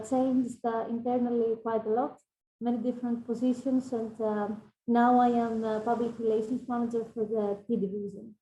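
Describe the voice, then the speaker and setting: A woman's voice: fairly high pitch, warm tone, roomy sound, muffled recording, a little hiss or hum. A woman from Greece introducing herself politely on an online panel, with frequent uh fillers.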